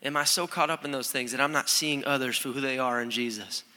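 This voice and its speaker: A young man, a student pastor, preaching a conversational, energetic sermon with frequent restarts, recorded in a church sanctuary. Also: medium pitch, fairly quick. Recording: long hall reverb.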